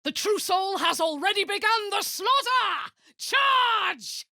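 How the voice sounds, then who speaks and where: Husky drow warlady from a game, cruel and bossy, slow, dry close mic. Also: very high-pitched, expressive, sharp tone, dry recording, heavily acted. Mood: commanding. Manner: commanding, menacing, drops to a husky whisper. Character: cold, imperious female drow warlord, cruel and commanding. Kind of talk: video game voice acting.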